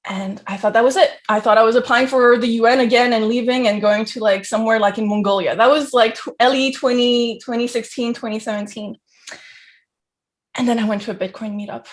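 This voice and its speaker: A woman's voice: on the high side, steady tone, brisk. A young woman from Geneva, Switzerland, giving an informal autobiographical talk in an effusive, conversational manner peppered with filler phrases. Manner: conversational, effusive, frequent "like" and "you know". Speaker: guest speaker, crypto entrepreneur.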